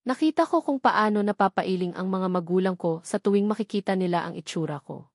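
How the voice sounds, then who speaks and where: A woman's voice: mid-range voice, brisk, no echo, studio quality. A woman narrating a scripted first-person love drama as a storyteller.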